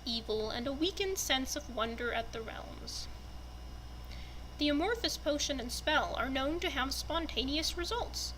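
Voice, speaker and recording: A woman giving a deliberate, storybook-like dramatic reading of a written fantasy text. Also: high-pitched, sharp tone, a little hiss or hum, poor audio. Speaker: reader presenting a fantasy book.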